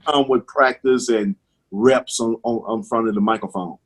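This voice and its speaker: A man from Mississippi with a thick Southern drawl and a slight lisp. He's middle-aged and speaks at an ordinary pitch, relaxed and unhurried in casual conversation. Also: breathy edge. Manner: laid-back, drawling, says "man" a lot. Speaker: stand-up comedian guest. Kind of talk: casual interview chat.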